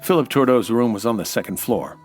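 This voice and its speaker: A man playing a hard-boiled American nightclub owner, dry and deadpan, in radio noir style. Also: medium pitch, a little fast, music in the background, natural voice. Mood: wry narration. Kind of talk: 1940s radio noir acting, scripted narration.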